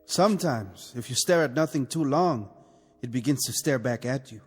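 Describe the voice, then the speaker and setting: An actor playing a conscience-stricken revolutionary officer, sardonic and brooding, in a mid-century television drama. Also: male voice, mid-range voice, lively intonation, moderate pace, slightly rough. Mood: challenging. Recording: old TV soundtrack, reverberant, music behind.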